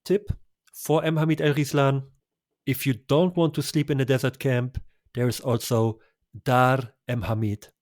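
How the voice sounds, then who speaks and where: A man's voice: medium pitch, fairly even intonation, normal speed, a little mellow, no echo. Enthusiastic male travel guest, dry sound. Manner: enthusiastic, effusive recommendations with small laughs. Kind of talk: conversational travel interview.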